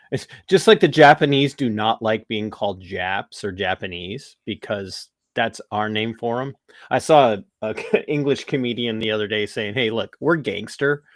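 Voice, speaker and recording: An American man hosting a podcast, talking politics in a rambling, animated way with restarts and laughs. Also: fairly low pitch, unhurried, no echo, clean studio sound. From United States. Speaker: podcast host. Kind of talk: casual political talk.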